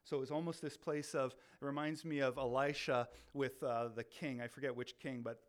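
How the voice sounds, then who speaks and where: A man who leads a ministry, talking about faith in a loud, animated way, often repeating words as he restarts. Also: medium pitch, moderate pace. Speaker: ministry leader. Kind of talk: casual faith talk.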